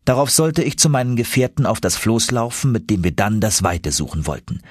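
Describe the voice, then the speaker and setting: A man's voice: on the low side, fairly quick, no room sound, studio quality. A man narrating an adventure audiobook in a dramatic, scripted storytelling style.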